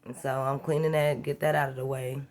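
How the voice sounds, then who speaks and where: Slightly raspy woman chatting while she cooks. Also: extremely low pitch, flat delivery, a little fast, darkish tone, a little hiss or hum. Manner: chatty, rambling and encouraging, talks while working. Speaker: home cooking vlogger. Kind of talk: casual how-to cooking vlog.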